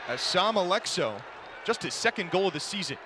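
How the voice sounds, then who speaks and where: A man with a high-pitched voice works as a radio play-by-play commentator at a live game. He describes the action as it runs, with energy, while a clear crowd and game noise sit behind him. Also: lively intonation, a little fast. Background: crowd and ambient game noise.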